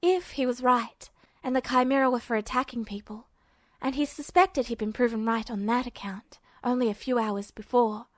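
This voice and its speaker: A woman's voice: on the high side, moderate pace, dry recording, studio quality. A woman reading scripted fiction as the narrator of an amateur audiobook. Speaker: podfic narrator.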